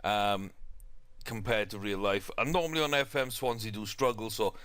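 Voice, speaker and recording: A man's voice: fairly low pitch, normal speed, a bit bright, clean tone, dry recording. A young man with a South Welsh accent, chatting casually through a football management game with lots of fillers and restarts. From South Wales. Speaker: gaming YouTuber.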